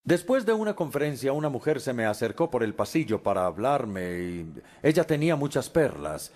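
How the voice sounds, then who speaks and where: A man giving a lecture as a Spanish voice-over, measured, with frequent pauses and hesitations. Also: mid-range voice, sing-song, a little mellow.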